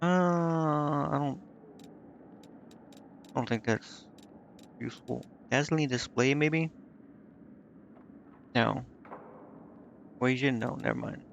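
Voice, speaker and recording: A man's voice: mid-range voice, takes it slowly, creaky, pauses often, dull audio, slight background noise. A man streaming a video game, thinking aloud in casual commentary and muttering indecisively to himself.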